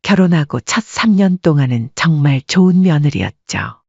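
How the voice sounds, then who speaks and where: A woman voices a sorrowful grandmother in her late sixties telling her story. The voice is husky, recorded close and dry with a slightly dull tone. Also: low voice, very animated, normal speed, a little mellow, no echo, heavily acted. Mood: wistful, aggrieved. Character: sorrowful 68-year-old widowed restaurant-owner grandmother. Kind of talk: scripted first-person story narration.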